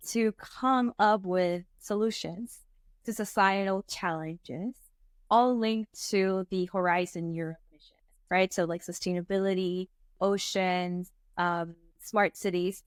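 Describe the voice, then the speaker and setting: A young woman in her twenties from Venezuela, telling her story as an interview guest in a chatty, animated way with many fillers. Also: mid-range voice, unhurried, clear, resonant tone, no echo, studio quality. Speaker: startup co-founder, guest. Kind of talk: casual interview talk.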